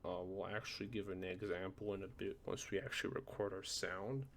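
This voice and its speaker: A man presenting a software tutorial informally, often checking that the listener is following along. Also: sits low, flat delivery, fairly quick, gravelly, a little hiss or hum. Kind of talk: casual screen-recorded software tutorial.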